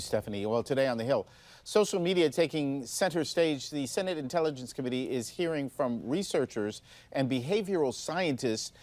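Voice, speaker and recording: A man's voice: mid-range voice, darkish tone. A man anchoring morning television news, reading stories from a script.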